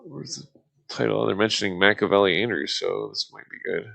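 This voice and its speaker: A man reading aloud casually on a live stream, halting and stumbling over formal text, with muttered asides. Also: lowish voice, gravelly, fairly bright tone.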